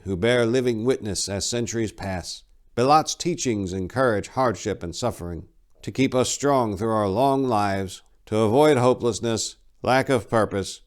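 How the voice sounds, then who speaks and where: A man's voice: lowish voice, smooth, voice pushed up, close to an everyday voice. Man playing a solemn, weary old elf monk. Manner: solemn, lecturing, lofty. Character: old, weary, stoic elf monk guard, devout storyteller.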